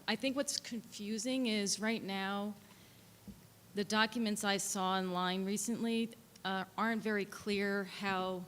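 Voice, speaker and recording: A soft-spoken woman gives earnest testimony, careful and technical, with frequent fillers. Her voice carries a gravelly fry. The sound has a long hall echo and faint background noise. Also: medium pitch. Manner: earnest, explaining with technical terms, many fillers. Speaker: local resident and architect giving public testimony. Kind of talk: public hearing testimony.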